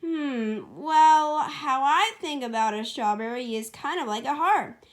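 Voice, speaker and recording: An excitable, high-voiced girl hedgehog played by a woman from a storybook comic dub. She is eager, stubborn and lovestruck, and speaks with plenty of emphasis. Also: measured pace. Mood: sweet, smitten.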